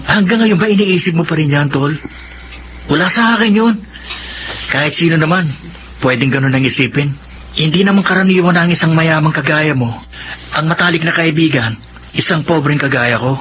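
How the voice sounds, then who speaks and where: Here is a man acting as a young Filipino best friend in a radio drama, loyal, teasing and frank. His voice sits fairly high. The recording is dull, with clear noise over it. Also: lightly acted.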